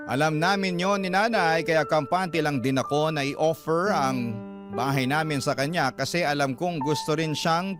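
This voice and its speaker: A man narrating a sad confessional letter as a sympathetic storyteller in a Filipino radio drama, with a Filipino accent. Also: on the high side. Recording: prominent music bed under narration.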